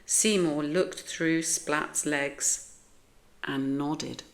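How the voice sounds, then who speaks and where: A woman's voice: low voice, fairly even intonation, extremely slow. A woman with a British accent reading a children's story aloud expressively.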